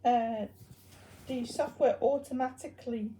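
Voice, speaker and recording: A woman presenting a hobby project in an informal, unscripted demonstration, explaining things in a hesitant, halting way. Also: on the high side, steady tone, dark tone, a little hiss or hum, rough recording.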